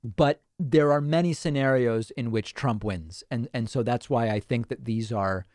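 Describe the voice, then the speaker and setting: A man's voice: mid-range voice, normal speed, a little mellow, no room sound. A man hosting a casual livestream, chatting and thinking aloud as he reads out viewer comments on a close microphone. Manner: chatty, thinking aloud with restarts and small laughs. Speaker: host.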